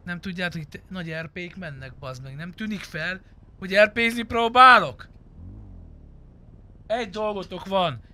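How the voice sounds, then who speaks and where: A man's voice: sits high, bright, forceful tone. A man, swearing and teasing as he chats casually while streaming an online roleplay game. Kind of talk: casual gaming banter over voice chat.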